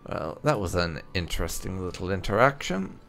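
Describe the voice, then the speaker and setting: A man's voice: low-pitched, big pitch swings, normal speed, vocal fry, a bit bright. A man doing playthrough commentary, musing and reading his character's dialogue choices aloud. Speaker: gaming channel host roleplaying his character.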